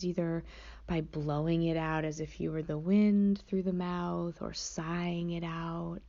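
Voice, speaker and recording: Woman meditation teacher, soft and unhurried, low warm voice, slightly muffled lo-fi recording. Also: creaky. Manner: soft, slow, gentle. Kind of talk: guided meditation.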